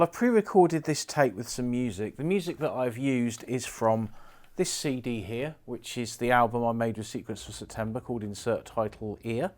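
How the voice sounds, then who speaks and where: A middle-aged British man giving an informal, unhurried hands-on commentary, explaining things step by step as he works. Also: mid-range voice. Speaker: presenter of a repair video, former radio engineer. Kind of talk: casual hands-on demonstration commentary.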